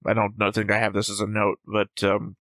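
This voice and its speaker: Male co-host, bright and sharp tone, analytical and playful, dry close mic. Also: on the low side, completely flat delivery, fairly quick, no echo. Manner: analytical, jokey, acts out quotes. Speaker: reaction show co-host. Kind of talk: casual discussion reading notes.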